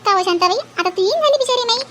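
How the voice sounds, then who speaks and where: A woman's voice: extremely high pitch, expressive, rapid-fire, a bit bright, slight background noise. A woman voicing a playful village mother-in-law in an amateur cartoon, full of teasing banter, with a Varhadi Marathi accent. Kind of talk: amateur cartoon comedy dialogue.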